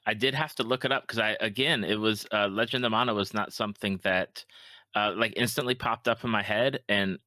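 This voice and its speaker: Male podcast host, 30s to 40s: lowish pitch, forward bright tone, casual interviewing. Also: fairly even intonation, brisk, no room sound.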